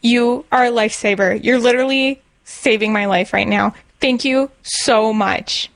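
A woman's voice: a little high, measured pace, creaky, no room sound. A woman talking informally on a call, as a customer asking for help. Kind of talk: informal phone conversation.

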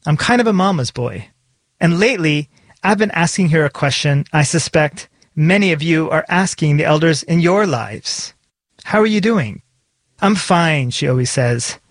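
A man's voice: on the high side, expressive, normal speed, no echo. A man hosting a podcast, reading a scripted, personal narration. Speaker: podcast host. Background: music.

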